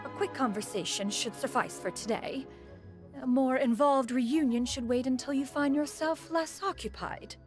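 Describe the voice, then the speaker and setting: A woman's voice: high-pitched, normal speed, faint music behind, close to an everyday voice. A woman playing a proud, doting immortal mentor from a video game, with stately archaic diction. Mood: composed, aloof. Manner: formal archaic diction, refers to self as "one".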